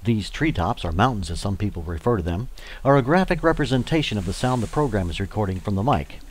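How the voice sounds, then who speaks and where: A man's voice: lowish voice, animated, fast. A man giving scripted, step-by-step instructional narration as a tutorial narrator for volunteers.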